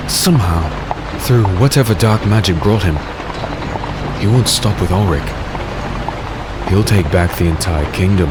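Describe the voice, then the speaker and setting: An actor playing a grim, haunted, secretive warrior in a dark fantasy audio drama, speaking tersely and dramatically. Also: male voice, low-pitched, very noisy. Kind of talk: dark fantasy audio drama, first-person narration and dialogue.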